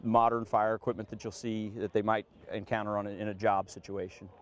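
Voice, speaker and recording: A man's voice: fairly low pitch, level tone, brisk. A man who is a firefighter officer, explaining a fire service tradition in an interview, with frequent hesitations. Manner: explanatory, with many "uh" fillers. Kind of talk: on-site interview.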